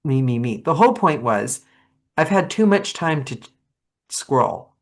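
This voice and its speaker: Chatty, animated woman host. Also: extremely low pitch, unhurried. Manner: animated, rambling, laughs often. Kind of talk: casual video-call chat.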